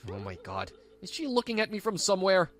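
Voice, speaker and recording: A man's voice: high-pitched, huge pitch swings, fast, fairly bright tone, faint music behind, heavily acted. A man voicing a careless, cocky and lazy young husband in a manga dub. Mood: spooked. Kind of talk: scripted manga dub dialogue.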